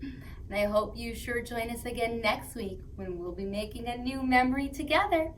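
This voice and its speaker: A woman hosting story time for young children, reading aloud and chatting to them in a playful, expressive way. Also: fairly high pitch, moderate pace, a little hiss or hum, rough recording.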